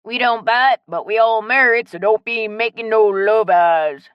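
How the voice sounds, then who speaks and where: A woman's voice: a little high, a little slow, clear, resonant tone, dry recording, dull audio, pitched above the performer's own voice, natural voice. Woman putting on a teasing, talkative Cajun man. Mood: jovial.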